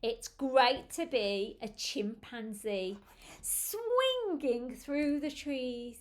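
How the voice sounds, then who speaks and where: A woman's voice: sits high, lively intonation, extremely slow, exaggerated voice. A woman voicing a playful, carefree chimpanzee from a children's storybook, in stretched-out sing-song rhyme. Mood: playful, gleeful.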